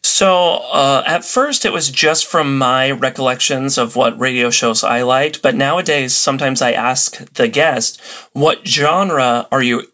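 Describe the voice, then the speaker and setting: A man's voice: medium pitch, unhurried, sharp tone. A man with a mid-range voice talks as a guest in a casual podcast interview. He is chatty and enthusiastic, explaining things in an informal, conversational way.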